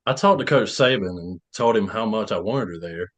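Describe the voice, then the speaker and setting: A man's voice: on the low side, animated, normal speed, dull audio, lightly acted. A man playing a polite, confident teenage football star and boyfriend in a screenplay table read.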